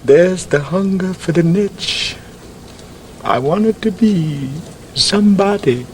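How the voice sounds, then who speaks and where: Guilt-ridden townsman, higher-voiced, halting and slow, theatrical mid-century drama monologue. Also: animated, a little mellow. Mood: anguished confessing. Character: guilt-ridden townsman confessing he led a lynch mob. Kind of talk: 1950s television drama acting. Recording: noisy vintage broadcast drama audio.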